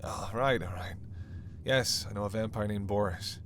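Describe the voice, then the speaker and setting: A man's voice: fairly low pitch, moderate pace, a bit bright, slight background noise, close to an everyday voice. A man playing a witty, flirtatious, weakened male vampire in an intimate audio roleplay, with playful, sardonic delivery. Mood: impatient, sarcastic. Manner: playful, sardonic, murmuring asides and sighs.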